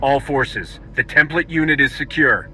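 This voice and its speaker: A man playing a brisk, no-nonsense roguish hero in a video game, blunt and commanding. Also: medium pitch, animated, bright, forceful tone, soft background music, poor audio. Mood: firm, brisk. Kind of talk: scripted video game acting.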